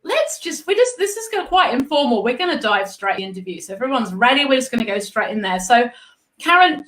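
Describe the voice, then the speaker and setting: A woman's voice: fairly high pitch, fairly bright tone. An Australian woman hosting a live-streamed interview in a bubbly, upbeat, chatty way. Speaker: host and art business mentor. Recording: echoey room.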